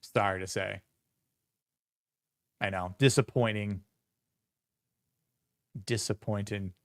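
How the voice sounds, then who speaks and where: Male streamer host, low smooth voice, loose rambling chat full of "um" and "like", dry close mic. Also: fairly even intonation, slow, no room sound. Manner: rambling, many fillers and restarts. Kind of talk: casual livestream chat.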